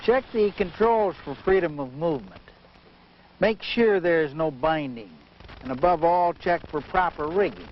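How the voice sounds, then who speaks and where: A man giving methodical, measured instruction in the manner of a training film, as a flight safety instructor. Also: high voice. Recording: muffled noisy vintage training film soundtrack.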